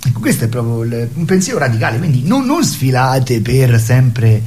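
A man arguing his opinions in an impassioned, polemical conversation, with halting, repetitive phrasing. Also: mid-range voice, very animated, darkish tone.

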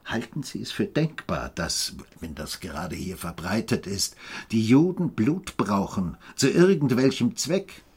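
An actor playing a stern, world-weary small-town official, measured and deliberate, in a German radio play. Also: male voice, on the low side, lively intonation, darkish tone, slightly rough, no room sound, exaggerated voice. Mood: stern, probing.

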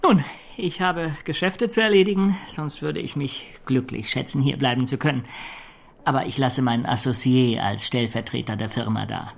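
An actor playing an obsequious, scheming clerk in a radio play, with unctuous, formal courtesy and a creaky voice. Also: male voice, mid-range voice, moderate pace. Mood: oily, servile. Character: obsequious scheming male clerk, falsely humble. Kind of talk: German radio play acting.

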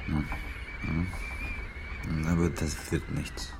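An actor playing a stolid, fatalistic provincial man in a radio drama, laconic and deadpan. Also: rumbling low, extremely slow, very noisy, full character voice. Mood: gloomy, resigned. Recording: studio radio drama over music.

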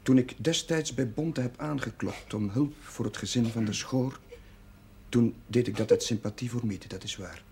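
Male actor as penitent chaplain, gravelly, solemn, formal, halting, old TV drama soundtrack, slight noise. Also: mid-range voice, warm tone, natural voice. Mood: earnest, defensive. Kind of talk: 1970s Dutch television drama acting. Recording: noisy old television soundtrack.

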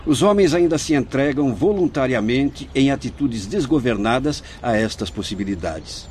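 A man with a Brazilian accent playing a wise, solemn spirit teacher in a radio drama, speaking in a measured, didactic way. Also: mid-range voice, animated, a little mellow, slight background noise, close to an everyday voice. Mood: solemn, instructive. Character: wise, solemn male spirit teacher addressing younger companions.

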